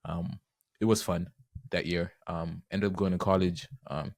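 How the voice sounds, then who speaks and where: A man's voice: deep voice, little pitch movement, a little slow, creaky, dry recording, clean studio sound. A young man from Zimbabwe, a guest on a podcast, talking in an easygoing, earnest way with many fillers. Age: young adult. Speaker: guest, penetration tester. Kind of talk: casual podcast interview.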